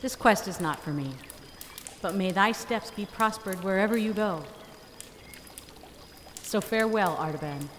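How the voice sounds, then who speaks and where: A woman's voice: mid-range voice, measured pace, pauses often, natural voice. Kindly Magus, new parent female reader, mid-range voice, dramatic Christmas reading, reverberant hall. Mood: regretful, kindly. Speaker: amateur church reader. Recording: echoey hall reverb with background noise.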